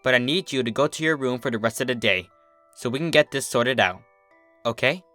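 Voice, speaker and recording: A man playing an adult female pony princess in a story reading, a strict but motherly mentor with a measured manner. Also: medium pitch, sharp tone, no room sound, faint music behind, lightly acted. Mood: soothing, firm. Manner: strict, measured, soothing with her student.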